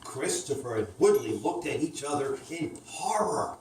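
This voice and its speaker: A woman's voice: sits low, big pitch swings, moderate pace, a little mellow. A woman storyteller reading aloud a children's story. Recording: echoey room, distant mic, faint noise.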